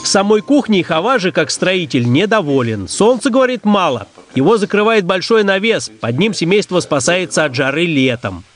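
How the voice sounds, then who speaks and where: A male reporter narrates a travel documentary in a notably high register. His delivery is scripted and story-like. Music plays clearly behind him. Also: very animated, normal speed, few pauses. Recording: music bed under the voice.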